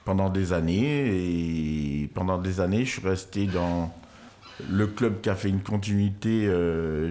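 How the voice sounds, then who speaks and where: An older man, a sports club president and coach, answering in a radio interview in a slow, halting way. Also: deep voice, a little mellow. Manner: slow and halting, many fillers.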